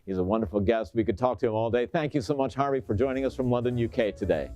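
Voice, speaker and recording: A man's voice: medium pitch, fast talker, dark tone, faint music behind. A man hosting a television interview, speaking appreciatively with a somewhat hesitant delivery.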